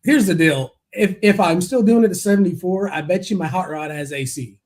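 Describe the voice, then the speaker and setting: Easygoing man in his forties, slightly high voice. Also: brisk, a little mellow. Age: 40s. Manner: easygoing, laughs often. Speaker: podcast host. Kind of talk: casual podcast chat.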